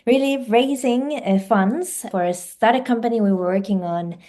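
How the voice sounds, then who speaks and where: Mellow-voiced woman, hesitant. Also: on the high side, normal speed. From Finland. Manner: fluent second-language speaker, hesitant fillers and restarts. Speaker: guest, life science director. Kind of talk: podcast interview.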